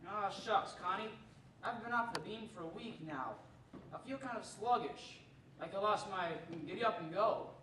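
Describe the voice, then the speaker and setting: A man's voice: high-pitched, moderate pace, recorded from a distance, natural voice. A man playing a folksy, aw-shucks cowboy game warden and husband in an old-time radio commercial staged live. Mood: sluggish, sheepish. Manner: folksy, aw-shucks country talk. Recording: roomy, noisy stage recording from afar.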